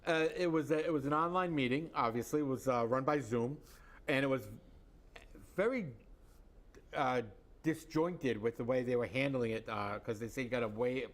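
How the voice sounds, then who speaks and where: A man co-hosting a local political commentary show, recounting a public meeting in a plain, matter-of-fact way. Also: medium pitch. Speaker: co-host.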